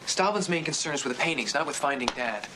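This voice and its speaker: Earnest amateur sleuth, fast talker, fairly high voice. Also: male voice, lively intonation, sharp tone, a little hiss or hum. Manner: earnest and brisk. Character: earnest young male amateur sleuth, level-headed. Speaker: young amateur detective. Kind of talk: 1970s TV drama acting.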